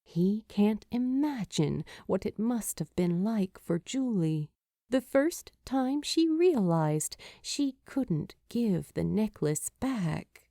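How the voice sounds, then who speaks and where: A woman narrates fiction at an unhurried pace. Her voice is mellow and moves through a lively range of pitch. The recording is dry and close. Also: no room sound. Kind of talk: expressive scripted story narration.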